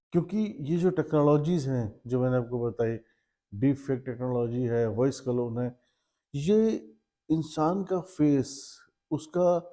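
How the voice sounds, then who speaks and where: A man's voice: mid-range voice, warm tone. A man giving an instructive, explanatory awareness talk, mixing Hindi with English terms and listing his points. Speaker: presenter.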